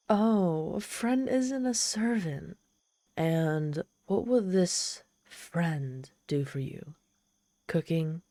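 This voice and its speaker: Casting: woman to play haughty trapped demoness for audio roleplay; lowish, dark mellow voice, tsundere pride over desperation. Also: no echo. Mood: indignant, softening. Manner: haughty, tsundere, speaking directly to the listener. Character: proud, desperate, socially awkward trapped female demon. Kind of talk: scripted ASMR audio roleplay.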